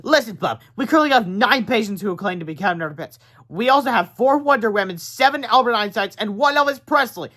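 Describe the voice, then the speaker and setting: A man's voice: sits high, lively intonation, fast, hardly any pauses, sharp tone, voice pushed up, full character voice. A man voicing a sarcastic, dismissive asylum receptionist nurse in a storybook read-aloud, speaking curtly. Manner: sarcastic, curt.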